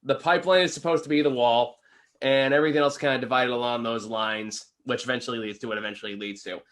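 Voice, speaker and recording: A man's voice: mid-range voice, steady tone, fast, a bit bright. A man co-hosting a casual film review chat, speaking informally and hedging as he trails into asides.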